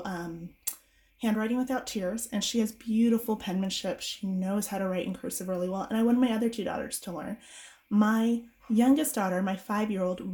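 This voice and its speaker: A woman's voice: mid-range voice, darkish tone. A woman in her thirties, a homeschool mom getting over a cold, chatting casually and rambling with fillers while reviewing books at home.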